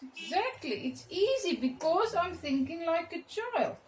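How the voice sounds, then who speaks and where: A woman's voice: very high-pitched, big pitch swings, a little slow. A woman with an Afrikaans accent, chatting with viewers as she teaches a painting lesson and calling out cheerful exclamations as she works. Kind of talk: casual step-by-step painting lesson. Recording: echoey room with faint background noise.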